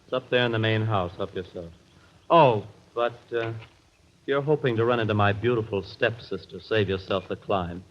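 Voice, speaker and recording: A muffled vintage radio recording of a man playing a brash young son with a lowish voice. He talks in a cocky, sneering way, in old radio drama style. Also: moderate pace, lightly acted. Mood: offhand, cocky. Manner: cocky, scornful. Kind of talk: 1940s radio acting.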